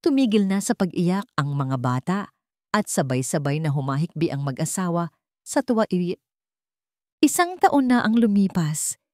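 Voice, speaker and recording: A woman storyteller narrating a scripted romance drama in deliberate, sentimental, short phrases. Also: lowish voice, big pitch swings, darkish tone, no echo, clean studio sound.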